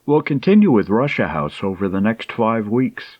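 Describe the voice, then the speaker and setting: A man's voice: fairly low pitch, very animated, normal speed, dry recording, highs cut off. A man introducing a radio programme as its host.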